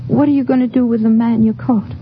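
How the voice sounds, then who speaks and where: A woman's voice: fairly high pitch, fairly even intonation, quick pace, lightly acted. An actress playing a frightened, evasive young woman who witnessed a killing, nervous and pleading, in a 1950s radio drama. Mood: guarded, nervous. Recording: muffled old-time radio recording, faint noise.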